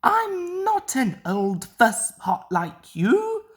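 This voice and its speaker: A woman's voice: high-pitched, huge pitch swings, very slow, voice pushed up, full character voice. A woman voicing a proud, dismissive young female diesel engine in a children's storybook. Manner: dismissive, complaining.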